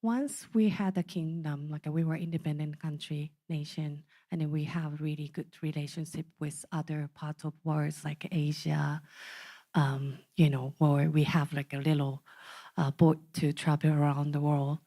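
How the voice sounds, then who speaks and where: A woman in her forties from Okinawa with a Japanese accent, giving a talk to a community gathering, halting and full of fillers. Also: deep voice, level tone, mellow. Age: 40s. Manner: halting, many fillers and restarts. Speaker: speaker.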